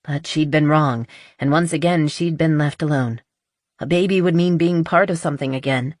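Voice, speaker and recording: A woman's voice: deep voice, normal speed, a little mellow, no echo, studio quality. A woman reading a romance novel as scripted audiobook narration.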